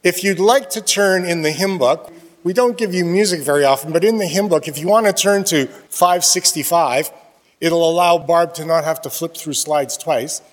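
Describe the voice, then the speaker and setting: A man's voice: on the high side, normal speed. A man giving casual, good-humored announcements to a congregation during a church service, now and then laughing at himself. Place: church sanctuary. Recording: long reverb of a church.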